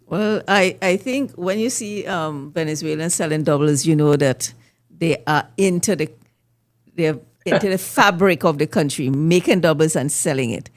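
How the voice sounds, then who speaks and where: A middle-aged woman with a Trinidadian accent, hosting a current affairs discussion in a measured, questioning way. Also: low-pitched. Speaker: host.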